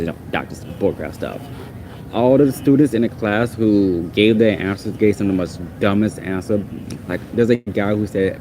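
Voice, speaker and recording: Deep-voiced man ranting over traffic noise. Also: a little mellow. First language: Portuguese. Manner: exasperated, rambling, repeats himself. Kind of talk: casual ranting monologue.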